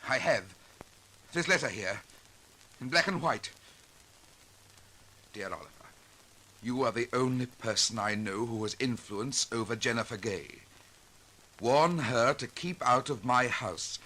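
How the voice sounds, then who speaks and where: A man's voice: mid-range voice, lively intonation, measured pace, pauses often, bright, forceful tone, low-quality recording. An actor playing a bitter, desperate accused man in a 1940s radio drama, intense and impassioned. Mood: dramatic reading.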